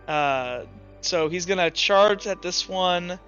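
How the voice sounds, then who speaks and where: Game master, male, high bright voice, loose and sweary storyteller, slightly dull recording with music behind. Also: slow. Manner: profane, informal, animated storytelling. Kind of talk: casual tabletop game narration and rulings. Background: background music.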